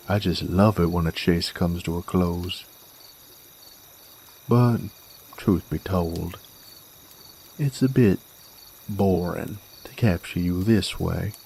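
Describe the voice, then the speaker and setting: Man playing a deep, drawling Western bounty hunter. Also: darkish tone, slight background noise. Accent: American Western drawl. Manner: folksy, taunting, drawling. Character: gruff, cocky male werewolf bounty hunter of the Old West. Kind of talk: roleplay audio drama monologue, addressed to a captive.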